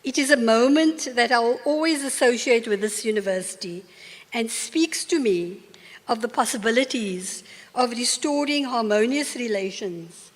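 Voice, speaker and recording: An older woman with a South African accent giving a formal acceptance speech in a large university hall, measured and earnest. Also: fairly high pitch. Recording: long reverb of a large hall.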